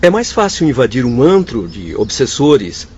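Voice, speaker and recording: An actor playing a wise, encouraging male spirit doctor in a radio drama, formal and gently instructive. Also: medium pitch, big pitch swings, normal speed, close to an everyday voice. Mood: encouraging, earnest. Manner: formal, gently instructive, addresses listener as friend. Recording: muffled radio drama with background noise.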